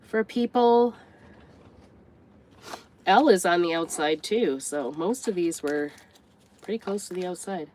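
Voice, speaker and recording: A grandmotherly woman with a congested, stuffed-up voice talks her way through a craft project. Her voice sits a little low, and she rambles and corrects herself as she works. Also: a little slow, long pauses, a little mellow, slight background noise. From United States. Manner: chatty, rambling, thinks aloud while working. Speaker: craft vlogger. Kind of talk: casual craft tutorial chat.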